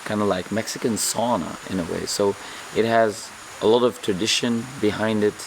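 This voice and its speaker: A man vlogging calmly and earnestly, his voice slightly hoarse, often repeating words as he searches for them. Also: fairly low pitch, slight background noise, poor audio. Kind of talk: reflective vlog monologue.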